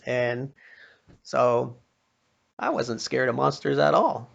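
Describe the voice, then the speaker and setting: An older American man telling informal inspirational stories in a folksy, plain-spoken manner, pausing now and then. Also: medium pitch, vocal fry, dull audio. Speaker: storyteller sharing childhood memories with a religious lesson.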